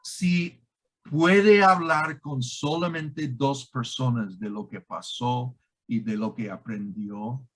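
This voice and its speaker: Slow-speaking man, non-native Spanish with foreign accent. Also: mid-range voice. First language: not a native Spanish speaker. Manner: halting, emphatic, with grammatical slips. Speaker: guest expert on online scams. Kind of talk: explanatory conversation.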